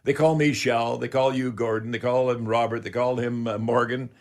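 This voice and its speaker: A man's voice: mid-range voice, steady tone, moderate pace. Older canadian guy in his seventies hosting radio, chatty and folksy, wanders as he talks. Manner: rambling, chatty, addresses listeners as folks. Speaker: radio show host. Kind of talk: casual, folksy live radio talk.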